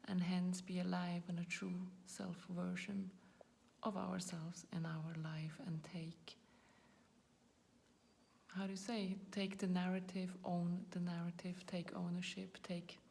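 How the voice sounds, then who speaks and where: A woman's voice: fairly low pitch, level tone, unhurried, gravelly, a little mellow, slight background noise. A woman in her forties, not a native English speaker, hosting her own channel and talking reflectively to camera, searching for words and often dropping into a whisper. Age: 40s. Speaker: YouTube channel host. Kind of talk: personal talk to camera.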